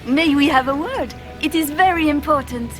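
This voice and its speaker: Excitable high-voiced noblewoman. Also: moderate pace, soft background music. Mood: polite, earnest. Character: excitable, polite young noblewoman courtier. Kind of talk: fantasy video game acting.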